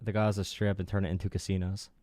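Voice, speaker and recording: Deep, mellow-voiced man calmly questioning. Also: dry recording, clean studio sound. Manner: calm, questioning, measured. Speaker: host. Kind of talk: call-in debate.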